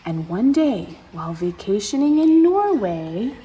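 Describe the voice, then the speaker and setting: A woman's voice: medium pitch, sing-song, a little slow. A woman reading a storybook aloud to young children as a story time host, expressively, with exclamations and asides to her listeners. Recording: clear background noise.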